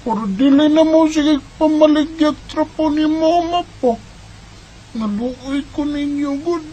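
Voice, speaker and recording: A woman voicing an earnest young boy who gently looks after his family, in a homemade radio drama. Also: high-pitched, a little slow, a little hiss or hum, low-quality recording. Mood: pleading. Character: earnest young boy, caring older brother.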